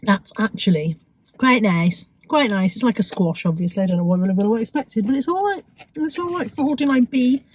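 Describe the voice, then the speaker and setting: A woman with a cold, sounding croaky and sniffly, chatting casually to viewers about her shopping with self-mocking asides. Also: mid-range voice, expressive, normal speed, a little mellow, rough recording. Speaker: vlogger. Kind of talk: chatty shopping haul vlog.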